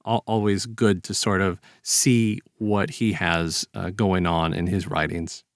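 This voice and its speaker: A man in a casual, reflective podcast discussion, speaking haltingly and repeating words as he builds a point. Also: deep voice, flat delivery, dry recording, studio quality.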